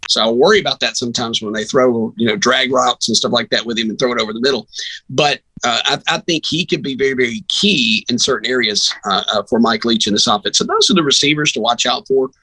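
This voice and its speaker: A male sports broadcaster with a bright, forceful, somewhat raspy voice. He chats through team analysis in a conversational way, slipping into acted-out quotes as he tells stories. The sound is close and dry. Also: medium pitch, fast, no echo, poor audio. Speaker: radio sports broadcaster, guest.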